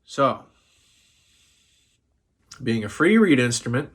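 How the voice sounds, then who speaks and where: Sick young guy a lil hoarse, tipsy and swearing, rambling chat from his living room. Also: mid-range voice, sing-song, takes it slowly, a bit bright. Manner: profane, loose and chatty, a bit tipsy. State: sick with a sore throat, slightly hoarse. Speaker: music vlogger. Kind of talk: casual rambling talk to camera.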